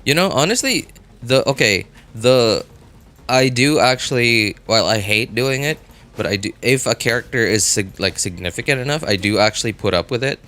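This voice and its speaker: A man chatting casually as a game livestreamer, thinking aloud in a halting way and often repeating himself. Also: mid-range voice, animated, pushed and bright.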